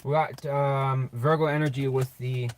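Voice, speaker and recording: A man giving a casual, off-the-cuff tarot reading in a blunt, sweary, slangy way, with a slightly raspy voice. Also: medium pitch, steady tone, measured pace, slight background noise. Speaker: tarot reader and channel host.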